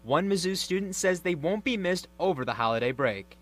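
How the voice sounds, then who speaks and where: A man's voice: high-pitched, animated, brisk, fairly bright tone. A man working as a TV news reporter, delivering scripted broadcast narration and live reports.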